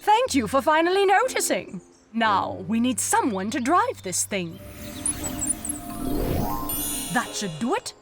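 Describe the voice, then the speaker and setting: A woman playing a brisk, wry, playfully bossy fairy godmother in a children's cartoon story. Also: fairly high pitch, sing-song, faint music behind. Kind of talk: children's animated story acting.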